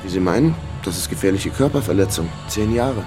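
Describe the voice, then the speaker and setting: A man's voice: low-pitched, lively intonation, fairly quick, over music. An actor playing a wry, down-on-his-luck amateur sleuth who narrates a radio crime drama with dry sarcasm. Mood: matter-of-fact. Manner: dry, sardonic. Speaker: narrator and lead character.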